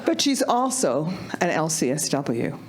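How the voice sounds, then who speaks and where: A woman manager in home health and hospice, speaking up from a meeting room audience without a microphone in an upbeat way, laughing often and calling herself loud. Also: low voice, sing-song, measured pace. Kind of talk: panel discussion audience comment. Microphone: no microphone, picked up from a distance.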